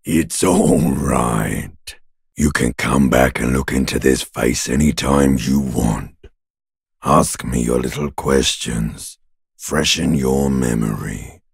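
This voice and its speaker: Abyssally deep, gravelly taunting corpse. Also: male voice, takes it slowly, no room sound, studio quality, full character voice. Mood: sardonic, morbid. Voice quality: gravelly, raspy growl. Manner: drawling, mockingly intimate, crude. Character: male ancient reptilian brain and talking corpse, taunting, morbid. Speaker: voice actor in a video game. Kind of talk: video game character voice acting.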